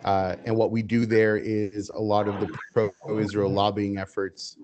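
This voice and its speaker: A fairly low-pitched man whose first language was Hebrew speaks in a measured, even way as an interview guest. He pauses on "uh" often but keeps a calm, businesslike flow. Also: crowd noise. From United States. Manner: measured, steady, frequent "uh" fillers. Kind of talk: casual interview answers.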